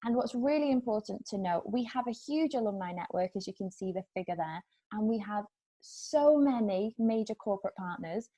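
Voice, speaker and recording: British admissions lady on a video call, mellow voice, upbeat, clear. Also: mid-range voice, lively intonation, normal speed. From England. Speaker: admissions manager. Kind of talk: online information session.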